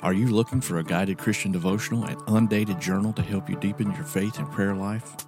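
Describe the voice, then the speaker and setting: A man's voice: on the low side, fairly even intonation, brisk. A man hosting a podcast, reading scripted narration in an earnest, sentimental manner. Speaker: podcast host. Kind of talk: scripted sponsor read and sign-off narration. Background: clear music.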